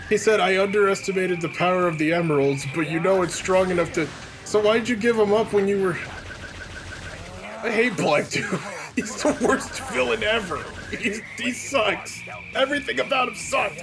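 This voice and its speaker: A man's voice: high-pitched, moderate pace, poor audio. A man giving casual, joking game commentary in a sarcastic, mocking way. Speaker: let's play commentator. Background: loud game music.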